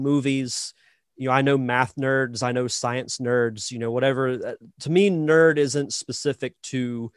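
A man's voice: medium pitch, no room sound, studio quality. A man hosting a podcast, chatting through a casual interview in a rambling, thinking-aloud way.

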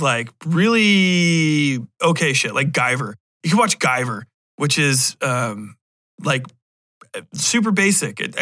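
A man's voice: on the high side, pushed and bright. An American man in his thirties rambling casually on a podcast, slangy, profane and opinionated. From Cincinnati, USA. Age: 30s. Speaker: podcast host.

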